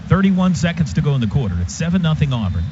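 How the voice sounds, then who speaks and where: A man's voice: on the high side, very animated, quick pace. A man doing live radio play-by-play of a football game in short, clipped present-tense phrases. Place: stadium radio booth. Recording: dull lo-fi radio broadcast, stadium noise. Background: clear stadium noise.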